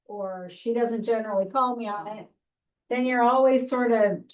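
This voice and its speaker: A soft-spoken woman joining a school board discussion, talking quietly. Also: on the high side, normal speed, poor audio. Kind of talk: meeting discussion.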